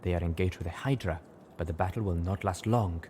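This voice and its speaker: A man's voice: low-pitched, brisk, mellow, slight background noise, natural voice. A man voicing a cryptic female zebra, solemn and urgent, in a story reading. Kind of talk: dramatised audiobook reading.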